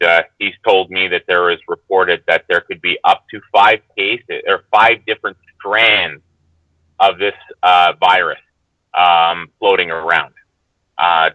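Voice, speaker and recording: A man giving casual, unscripted commentary as a guest, halting and hesitant, often qualifying what he says. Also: deep voice, pushed and bright, no echo, poor audio.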